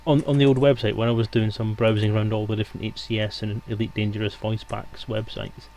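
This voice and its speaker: A man's voice: fairly low pitch, level tone, quick pace, a little mellow. A man hosting a casual live-stream interview over a voice call, speaking informally and a little hesitantly. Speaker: stream host.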